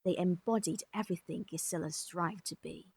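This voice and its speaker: A woman's voice: lowish voice, brisk, darkish tone. A woman reading dramatic scripted narration for a visual novel as its storyteller. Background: music clearly behind the voice.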